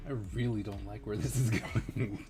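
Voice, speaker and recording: A man's voice: fairly low pitch, steady tone, moderate pace, music in the background. A man chatting casually and laughing often while he paints on a livestream. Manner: laughs often, reads chat aloud. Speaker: streamer.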